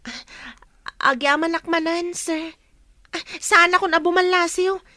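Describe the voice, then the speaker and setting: A shy, polite young woman acted out by an actress in a drama. Her voice is extremely high and bright, sweetly hesitant and apologetic. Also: fairly even intonation, unhurried, exaggerated voice.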